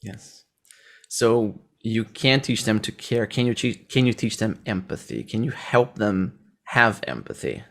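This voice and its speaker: A man's voice: on the low side, animated, measured pace, gravelly. A man from Brazil, a native Portuguese speaker with a Brazilian accent, hosting a conversational interview. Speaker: podcast host.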